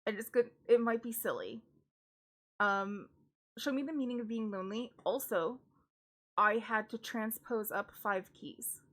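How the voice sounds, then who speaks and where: A woman's voice: lively intonation, measured pace, fairly bright tone. A young woman, a classical singer streaming live, chatting casually with lots of restarts and giggly asides. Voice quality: high singing voice. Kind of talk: casual stream chat, then singing along.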